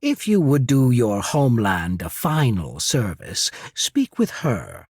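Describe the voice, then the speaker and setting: An actor playing a shrewd, courteous naval emissary in a fantasy video game, speaking in a measured, formal, persuasive way. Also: male voice, mid-range voice, wildly swooping pitch, darkish tone, breathy edge, no room sound, studio quality, heavily acted. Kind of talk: scripted fantasy game dialogue.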